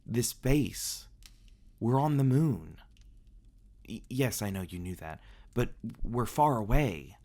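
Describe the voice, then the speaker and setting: A man voicing a flustered, excitable star being in a fantasy audio roleplay, exclaiming and rambling in agitation. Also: lowish voice, slow pace, exaggerated voice. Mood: alarmed realization. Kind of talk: ASMR roleplay acting.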